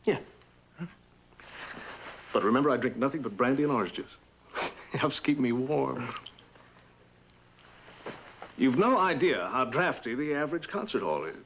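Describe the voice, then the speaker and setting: A man's voice: medium pitch, lively intonation, moderate pace, long pauses, a bit bright. An actor playing a troubled, anxious young male heir, theatrical and hesitant, in an old suspense drama. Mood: wry. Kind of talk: old radio and television suspense drama acting. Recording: noisy vintage drama recording.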